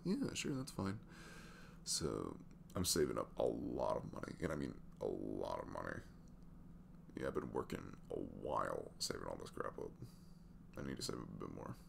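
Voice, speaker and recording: A man in his twenties playing a sweet, easygoing single dad in an intimate ASMR audio roleplay, chatting haltingly in a velvety voice. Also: background noise, exaggerated voice. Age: 20s. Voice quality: deep, gravelly velvet voice. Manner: halting, chuckling, conversational.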